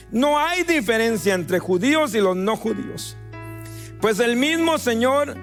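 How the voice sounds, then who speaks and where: A man's voice: very high voice, fairly bright tone. A man with a Guatemalan accent preaching a sermon as a pastor, stretching out words for emphasis. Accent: Guatemalan Spanish. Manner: preaching with emphatic drawn-out stresses. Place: church sanctuary.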